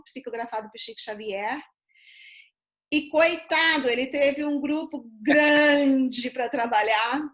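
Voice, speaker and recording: High-voiced Brazilian woman hosting a video chat. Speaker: host. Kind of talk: casual video-call chat. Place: home in London. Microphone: video call.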